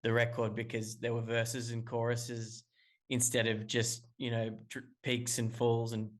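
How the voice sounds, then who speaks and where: Male musician guest on a call, rambling and hesitant, mid-pitched everyday voice. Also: monotone, a little slow. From Australia. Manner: rambling, hesitant, many restarts and fillers. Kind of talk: casual interview talk. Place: calling in remotely.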